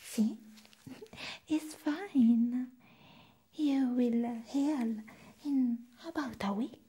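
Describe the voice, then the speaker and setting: A woman playing a crazy, playful, hypnotic vampire nurse in a breathy whispered ASMR roleplay, close to the microphone. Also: high voice, dark tone, heavily acted, poor audio. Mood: coy, taunting. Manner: slow, drawn-out, teasing delivery.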